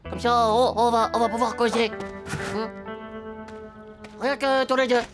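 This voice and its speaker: A woman voicing an awkward, excitable, sulky schoolboy in a French cartoon, with a halting, whiny, stammering delivery. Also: fairly high pitch, over music, close to an everyday voice. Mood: nervous, hesitant.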